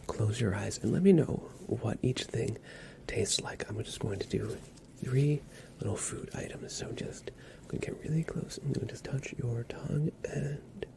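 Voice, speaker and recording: A man speaks mostly in a soft, breathy whisper with noticeable fry. He plays a doctor in an ASMR exam roleplay, giving gentle, reassuring instructions in a soothing way. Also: lowish voice. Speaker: ASMR performer playing a doctor.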